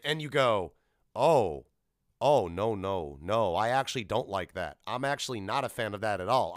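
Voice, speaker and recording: A man hosting a solo podcast, rambling casually and bluntly in an animated way, swearing freely. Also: mid-range voice, no room sound, clean studio sound. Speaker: podcast host.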